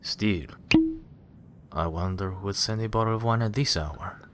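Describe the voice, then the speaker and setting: A man playing a courteous, refined gentleman passenger on an ocean liner in a radio drama. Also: lowish voice, a little hiss or hum, lightly acted. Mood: puzzled. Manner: courteous, measured and genteel. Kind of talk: fan radio drama acting.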